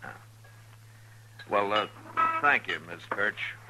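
Deep-voiced, terse Old West marshal. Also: male voice, expressive, slow pace, heavy background noise. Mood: steady, businesslike. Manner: terse, authoritative. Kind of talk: 1950s radio western acting and first-person narration. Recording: vintage 1950s radio recording with music.

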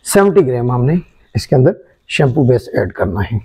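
Mellow-voiced man demonstrating a chemical formulation. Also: mid-range voice, very animated, husky, rough recording. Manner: unhurried, explanatory, frequent hesitations. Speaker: chemistry channel presenter. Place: indoor workshop table.

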